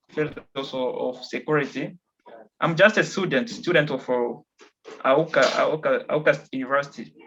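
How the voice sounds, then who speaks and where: A young man from the Democratic Republic of Congo speaks over a dull, slightly muffled video call. His voice sits a little high. He talks hesitantly, with many fillers, as he explains that he is a student. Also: bright, forceful tone. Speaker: attendee, student.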